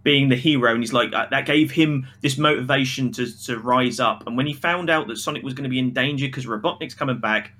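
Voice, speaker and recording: A man's voice: mid-range voice, brisk, few pauses. A man co-hosting a podcast, giving an opinionated, casual film review in an emphatic way, acting out mock quotes as he argues. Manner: emphatic, animated arguing with acted-out mock quotes.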